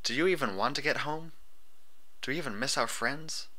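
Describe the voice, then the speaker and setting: A man's voice: mid-range voice, normal speed, sharp tone, voice pushed up, lightly acted. A man voicing a young female pony, weary and blunt, with folksy drawling speech, in a storybook reading. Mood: weary, despairing.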